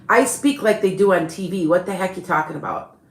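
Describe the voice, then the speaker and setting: Low-voiced chatty woman, Chicago accent. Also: a little fast, roomy sound. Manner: rambling and chatty, with frequent laughs and restarts. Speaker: psychic reader and live-stream host. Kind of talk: casual live-stream chat.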